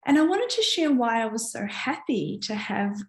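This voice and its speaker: A woman's voice: a little high, sing-song, normal speed. A woman coach chatting casually and encouragingly to followers on a live stream, her voice strained from having partly lost it. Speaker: coach hosting a live online challenge. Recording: roomy, echoing live stream.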